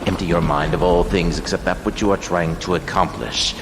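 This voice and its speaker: Man playing a very deep, commanding mystic, echoing. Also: fairly even intonation, brisk. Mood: solemn, instructing. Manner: portentous, imperative, dramatic. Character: commanding, intense male mystic mentor urging a ritual. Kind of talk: dramatic game cutscene acting. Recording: cavernous long echo with heavy noise.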